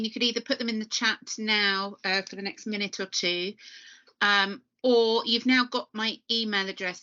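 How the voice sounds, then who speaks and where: Bright woman moderating a call. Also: mid-range voice. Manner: polite, helpful. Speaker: webinar moderator. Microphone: computer mic on a video call. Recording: muffled, lo-fi video call audio.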